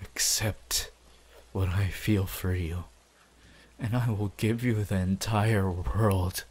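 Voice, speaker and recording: A man's voice: low-pitched, fairly even intonation, slightly rough, exaggerated voice. A man voicing a possessive, gloating young anime villain in a roleplay, menacing and megalomaniac. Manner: slow, menacing, swelling from whispers to shouts.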